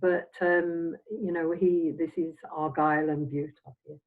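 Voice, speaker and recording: An older woman from Scotland, a semi-retired minister, reminiscing in a halting, meandering, reflective way as an interview guest. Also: low voice, fairly even intonation. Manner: halting, meandering delivery with trailing phrases. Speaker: interviewed Spiritualist minister and medium. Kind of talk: reflective, anecdotal chat. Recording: dull, phone-like audio.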